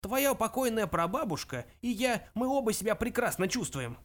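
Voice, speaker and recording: A scornful ancient ghost of a great-grandfather, acted out by a male storyteller, creaky and high in pitch. He scolds sternly and pompously, like a grumpy storybook elder. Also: big pitch swings, normal speed, fairly bright tone. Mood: reproachful.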